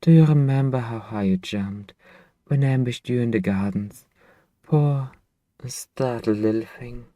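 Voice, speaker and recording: A man playing a centuries-old vampire master and possessive, tender boyfriend in an intimate ASMR roleplay, speaking softly and wistfully with lingering phrasing. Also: medium pitch, a little slow, no room sound, close to an everyday voice. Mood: teasing, fond. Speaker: ASMR voice actor.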